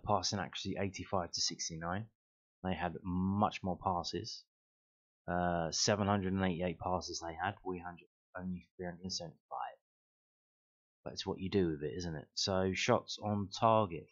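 A man with a low voice walks through football numbers as if thinking aloud. He rambles with fillers and throws in wry remarks. The home recording sounds muffled and lo-fi. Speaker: fan channel host. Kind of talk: casual screen-share commentary.

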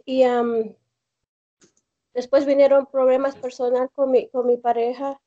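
A young woman with a Mexican accent calling in on a video call from home, telling her story haltingly with many fillers and restarts. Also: high voice, flat delivery, warm tone. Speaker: caller. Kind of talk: hesitant personal testimony on a video call. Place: home room indoors. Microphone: laptop or phone on a video call. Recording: dull lo-fi video call audio.

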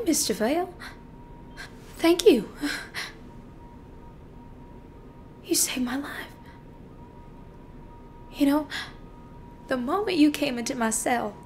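High, wildly swinging manipulative killer played by a woman. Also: big pitch swings, fairly bright tone, exaggerated voice. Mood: meek, grateful. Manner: foul-mouthed, taunting, theatrical, halting. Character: manipulative killer client, meek at first, then gloating and mocking. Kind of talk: dramatic film monologue performance. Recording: faint noise and low rumble.

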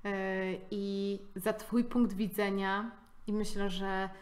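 A woman co-hosting a coaching podcast, speaking in a calm, affirming way with hesitant fillers. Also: mid-range voice, takes it slowly. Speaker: coach and co-host. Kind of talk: podcast conversation.

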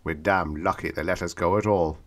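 A man voicing a heavy-set businessman in an audiobook story, speaking bluntly and blusteringly in his own low voice. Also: fairly even intonation, a little fast, a bit bright.

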